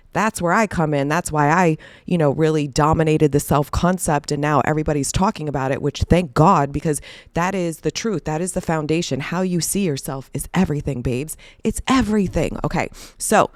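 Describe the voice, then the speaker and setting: A middle-aged woman life coach with a hoarse voice from a cold, giving a blunt, emphatic casual talk to camera that is peppered with swearing. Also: on the low side, dry recording, studio quality.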